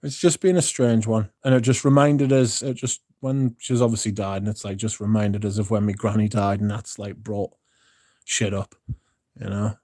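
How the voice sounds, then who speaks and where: A man's voice: on the low side, fairly even intonation, moderate pace, dark tone, no echo, clean studio sound. Tired, sweary man. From Britain. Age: 30s. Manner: rambling, sweary, frequent restarts. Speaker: streamer. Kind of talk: casual livestream chat. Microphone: phone.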